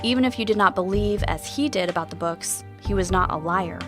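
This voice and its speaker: A woman's voice: medium pitch, a little fast, lightly acted. An actress playing an earnest, doubting woman who hosts an investigative podcast, in a scripted audio drama. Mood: pensive. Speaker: podcast host. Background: music.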